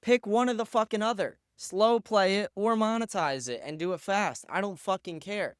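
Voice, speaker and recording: A man hosting a stream, blunt and profane as he rants at his subjects. His voice comes across smooth and resonant. The sound is dry and close, with no room around it. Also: sits high, moderate pace, no echo. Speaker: show host. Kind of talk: live-stream commentary.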